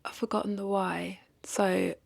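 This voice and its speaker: A woman's voice: medium pitch, level tone, a little slow, gravelly. A woman talking casually and confessionally to camera as a vlogger, hesitant and reflective, questioning herself and repeating phrases.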